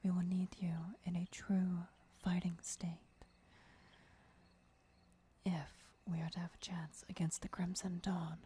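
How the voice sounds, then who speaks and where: A woman playing a devoted, gentle vampire mentor and lover in a breathy, whispered ASMR roleplay, instructing in a soothing way. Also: lowish voice, flat delivery, measured pace. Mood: solemn, encouraging.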